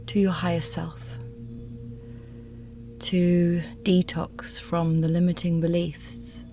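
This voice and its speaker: Slow, hushed woman guiding meditation. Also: sits low. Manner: soothing, hushed, often breathy near whisper. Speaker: yoga nidra meditation guide. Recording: music bed with singing bowl tones. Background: meditation music with singing bowls.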